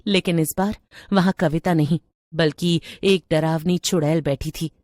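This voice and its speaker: Unhurried woman narrating a horror story. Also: deep voice, steady tone, a little mellow, no room sound, clean studio sound.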